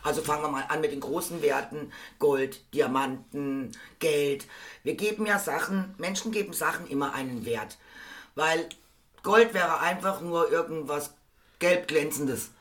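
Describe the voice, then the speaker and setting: Hoarse bright-voiced man, rambling podcast host. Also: on the high side, a little slow. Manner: rambling, self-mocking, chuckling. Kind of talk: casual podcast chat.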